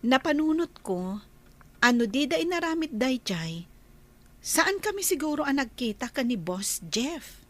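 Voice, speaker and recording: Actress as a gentle young working woman, high voice, unhurried delivery, radio drama. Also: very animated, exaggerated voice. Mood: friendly, chatty.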